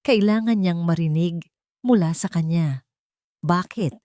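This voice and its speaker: A woman with a low voice narrates a dramatic story. The recording is dry and close, and the delivery is that of scripted storytelling. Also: lively intonation, moderate pace, a little mellow, no echo.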